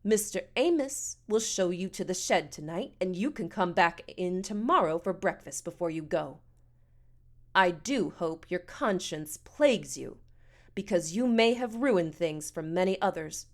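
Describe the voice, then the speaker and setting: A woman's voice: fairly low pitch, expressive, unhurried. A woman acts out a haughty foster mother from a storybook. She scolds in pompous, stilted, formal phrases and sounds thoroughly self-righteous. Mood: indignant, haughty. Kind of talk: audiobook character dialogue.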